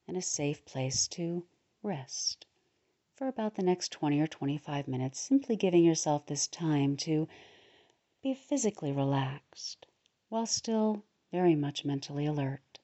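Low, mellow woman guiding a meditation. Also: dull audio. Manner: soothing, unhurried, with pauses between short phrases. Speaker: guided meditation leader, podcast host. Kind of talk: guided relaxation meditation narration.

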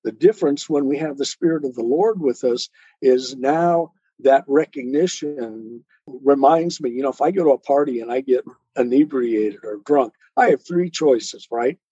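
A man's voice: mid-range voice, moderate pace, dark tone, breathy edge. A man speaking as an interview guest, earnestly explaining spiritual ideas step by step in a didactic way. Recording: muffled, roomy, lo-fi sound.

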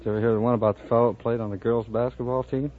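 An American man from Iowa, a quiz contestant giving plain, brief, low-key answers. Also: little pitch movement, rapid-fire, very noisy. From Iowa, United States. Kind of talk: unscripted short answers to a host. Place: radio studio before a live audience. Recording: tinny old radio recording, faint audience.

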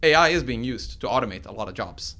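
A man's voice: mid-range voice, animated, quick pace, vocal fry, sharp tone. A man in his forties who was born in Iran and grew up in Sweden, giving conversational interview answers as a tech founder and researcher, in an informal explanatory way. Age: 40s. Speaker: tech company founder and computer science researcher being interviewed.

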